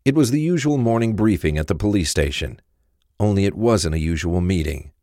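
A man's voice: deep voice, lively intonation, fairly quick, dark tone, no echo, studio quality. A man narrating a crime thriller audio drama from a script.

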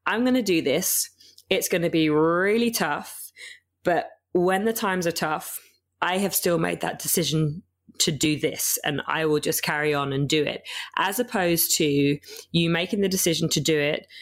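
Woman podcast host, croaky and lower-pitched, chatty with frequent ums. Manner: chatty, many ums and restarts. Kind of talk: casual interview chat.

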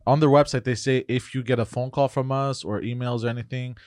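A man on a casual podcast telling a story in a relaxed way, with many fillers. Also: medium pitch, brisk, dry recording, clean studio sound. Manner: laid-back storytelling with fillers. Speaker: podcast co-host. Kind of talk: casual chat.

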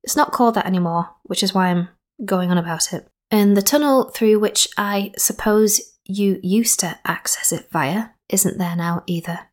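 A woman's voice: mid-range voice, moderate pace, hardly any pauses. A young woman from Skipton, hosting and interviewing in a rambling style with playful asides. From Skipton, North Yorkshire. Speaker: podcast host. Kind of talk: chatty spoken introduction and interview.

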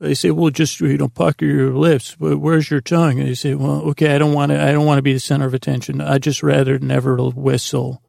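A man with a creaky, gravelly voice hosting a sleep podcast in a meandering, halting, self-deprecating monologue full of tangents. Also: on the high side, flat delivery, darkish tone, no echo, studio quality. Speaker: podcast host.

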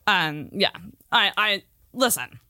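A woman co-hosting a podcast in casual comedic chat, with an animated, exclamatory and playful way of talking. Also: medium pitch, slow pace, no echo, clean studio sound. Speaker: podcast co-host.

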